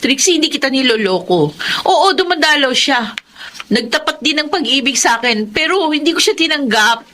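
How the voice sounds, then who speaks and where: A woman's voice: high-pitched, animated, moderate pace, pushed and bright, a little hiss or hum. A woman playing a kind, dutiful young student in a Filipino radio drama. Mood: pleading, upset. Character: Cindy, kind, dutiful young aspiring teacher.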